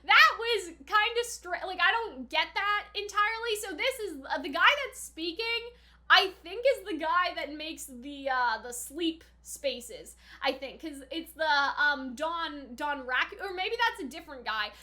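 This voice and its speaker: A young woman with a notably high, bright voice talks through her reactions as a reviewer. She is chatty and slangy, rambling casually and rewording her thoughts with a giggly manner.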